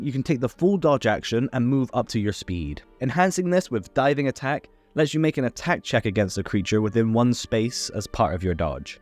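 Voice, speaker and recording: A man hosting a tabletop game tutorial, reading a scripted rules explainer. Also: mid-range voice.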